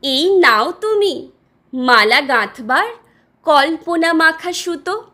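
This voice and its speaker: A woman's voice: high-pitched, animated, slow pace, sharp tone, heavily acted. A woman reciting a poem as an eager young village boy who peddles dreams, coaxing and earnest. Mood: coaxing, friendly. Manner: coaxing, earnest, street-seller address.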